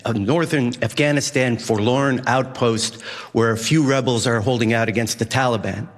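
Middle-aged male storyteller, mid-pitched, reverberant hall, faint music beneath. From Massachusetts, USA. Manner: vivid, animated when recounting action. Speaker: journalist and news editor. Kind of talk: personal storytelling.

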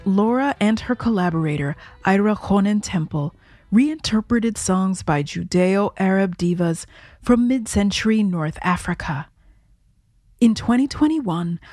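A woman host giving measured, scripted documentary narration. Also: mid-range voice, darkish tone, no room sound, clean studio sound.

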